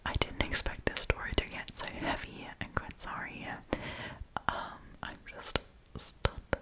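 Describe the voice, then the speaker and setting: A woman hosting an ASMR storytime, reading online stories aloud in a soft, breathy whisper. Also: unhurried. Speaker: host of an ASMR storytime channel.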